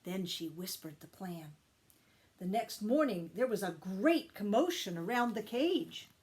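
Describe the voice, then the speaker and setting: A woman reading a children's story aloud with expressive, deliberate emphasis. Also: medium pitch. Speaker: storytime reader, teacher.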